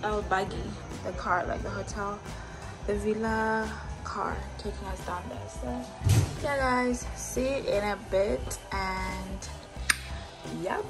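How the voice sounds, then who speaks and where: A woman's voice: medium pitch, fairly even intonation, takes it slowly, over loud music. A young woman chatting casually on her travel vlog, rambling with fillers, filmed on her phone in a hotel villa. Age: young adult. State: slightly stuffy with a flu. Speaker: vlogger. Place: luxury hotel villa, indoors. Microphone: phone held in mirror selfie.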